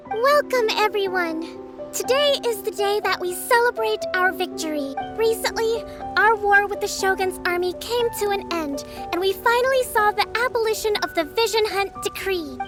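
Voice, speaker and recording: A soft-spoken young woman who leads an island people, polite and tactful. Her voice sits very high, with clear game music underneath. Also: normal speed. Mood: addressing crowd. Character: young female island leader, gentle and tactful strategist. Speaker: military leader. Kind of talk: video game voice acting.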